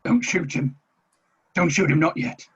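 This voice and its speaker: A man's voice: on the high side, expressive, a little slow, a bit bright, breathy edge, lo-fi sound, full character voice. A man playing a hard, self-serving military commander, firm and bargaining, in a screenplay table read. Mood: urgent.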